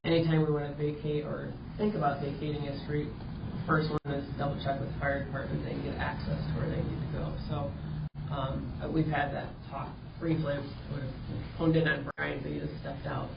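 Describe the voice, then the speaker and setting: A man's voice: fairly high pitch, monotone, fairly quick, gravelly, dull audio, background noise. A man clarifying city procedure at a council meeting, in a matter-of-fact way. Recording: distant, echoey council chamber audio.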